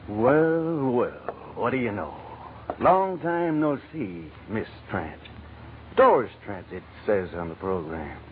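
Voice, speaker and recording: A menacing gambler and killer who bullies and taunts in tough slang. His pitch moves up and down freely in the manner of vintage radio crime drama. The sound is narrow and phone-like, with faint noise. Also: sing-song, slow pace. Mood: taunting, accusing. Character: menacing male gambler and killer, slyly threatening. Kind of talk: old-time radio crime drama acting. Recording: muffled, phone-like old radio recording, noisy.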